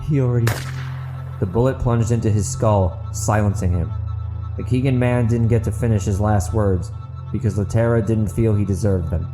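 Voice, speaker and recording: A man narrating and hosting an audiobook podcast, with earnest, deliberate phrasing. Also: on the low side, music in the background, poor audio. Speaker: podcast host, author and narrator.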